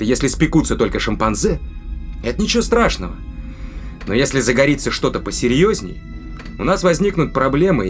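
A male actor plays a cold-blooded man of a spaceship crew in a sci-fi audio drama. He reasons deliberately and gives orders with a cruel edge, at a medium pitch, with soft music underneath. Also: close to an everyday voice. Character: cold, calculating spaceship crewman with a cruel streak.

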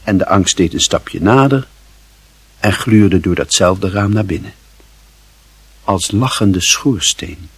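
A man narrating a literary radio drama in a solemn, measured and expressive manner. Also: deep voice, husky, dry recording, exaggerated voice.

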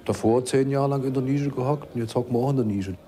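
A man's voice: medium pitch, fast talker, dark tone. A man giving spontaneous political reflections in a blunt, disillusioned way. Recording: faint ambient noise.

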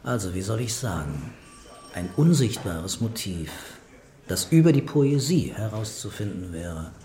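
An actor playing a measured, terse police commissioner leading a murder inquiry in a German radio crime drama. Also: male voice, fairly low pitch, sing-song, dark tone. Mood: pensive.

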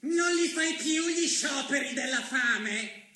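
A wicked spirit in a stage drama, goading and gleeful. The voice is extremely high and piercingly sharp, with slow, theatrical delivery in an echoing space. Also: male voice, full character voice. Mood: needling. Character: taunting evil spirit that relishes hatred. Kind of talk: stylized stage theatre acting.

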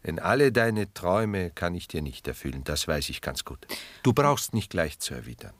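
An actor playing a reflective, tender, melancholy older lover in a radio drama, speaking in a measured literary way. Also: male voice, low voice, sing-song, fairly bright tone, no room sound, exaggerated voice. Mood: earnest, restrained. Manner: measured, literary, musing delivery. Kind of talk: 1960s German radio drama acting.

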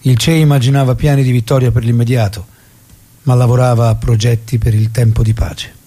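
A man's voice: mid-range voice, flat delivery, fairly quick, dark tone, lightly acted. A male actor as the solemn narrator of a war drama, giving scripted narration in spare phrases. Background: prominent guitar music.

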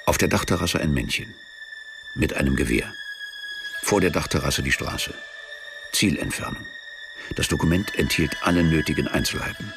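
A man narrating a scripted literary radio drama as a wry storyteller, measured and deadpan. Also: very deep voice, gravelly, full character voice. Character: dry, wry storyteller of a travel tale.

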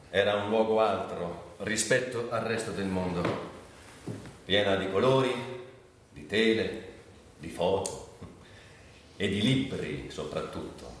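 An actor playing a reflective, reverent man in a radio monologue, speaking earnestly in short, emphatic phrases. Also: lowish voice. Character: reflective man recalling his admired mentor from childhood. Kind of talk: theatrical radio monologue, reminiscence. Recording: very echoey, distant, faint noise.

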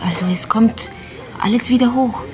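A woman's voice: a little high, normal speed, over music, lightly acted. A woman acting a terse, subdued police detective in a naturalistic radio crime drama, understated and brief.

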